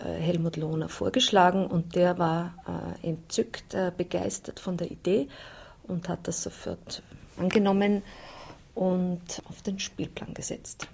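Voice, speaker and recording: A woman with a breathy, husky voice, answering interview questions deliberately and a little haltingly. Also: mid-range voice, a little mellow. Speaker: theatre dramaturge being interviewed. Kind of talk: considered interview talk.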